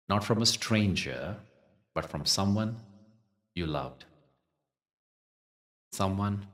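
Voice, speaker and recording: A man leading a guided meditation as the teacher, soothing and unhurried, with measured phrasing and long pauses. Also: deep voice, far from the mic.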